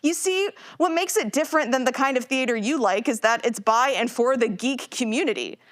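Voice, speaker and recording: A woman's voice: high voice, a little fast, fairly bright tone. An actress playing an enthusiastic geeky woman gamer in a live audio play, explaining things emphatically with casual slang. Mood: eager, explanatory.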